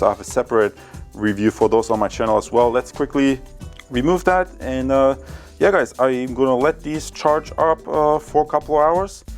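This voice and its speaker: A man's voice: medium pitch, darkish tone. A man giving a casual product review, talking conversationally to his viewers as a tech reviewer. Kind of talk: casual product review and field test talk.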